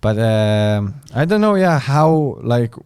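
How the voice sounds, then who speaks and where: A man with an Estonian accent, a comedian chatting as a guest and often pausing to search for words. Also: mid-range voice, big pitch swings, a little mellow, clear, resonant tone, no room sound, studio quality. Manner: hesitant, searching for words, many fillers. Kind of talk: casual chat.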